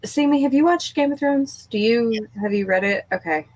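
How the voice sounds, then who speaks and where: A woman hosting a casual group book chat, speaking in an animated, emphatic way with exasperated storytelling. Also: a little high, normal speed, no echo, rough recording. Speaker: book discussion host. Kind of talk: casual group chat about books and shows.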